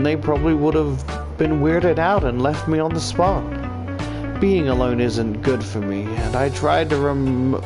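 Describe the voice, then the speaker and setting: A man voicing an earnest, higher-pitched teenage schoolgirl. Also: natural voice.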